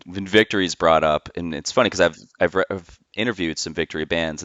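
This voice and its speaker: Deep-voiced, laid-back male podcast host. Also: fairly bright tone, clean tone, dry recording, lo-fi sound. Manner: laid-back, swears casually, conversational. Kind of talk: casual podcast interview.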